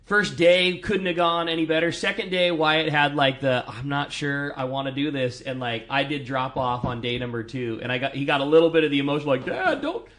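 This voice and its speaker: A man in his thirties with a loud, powerful voice, co-hosting a fishing radio show and telling stories in a laughing, upbeat way. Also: on the high side, brisk, few pauses. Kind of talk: casual radio chat.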